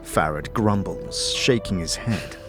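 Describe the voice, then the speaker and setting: A man narrating a fantasy audio drama in a measured, theatrical storytelling manner, addressing the listener directly. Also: low voice, over music.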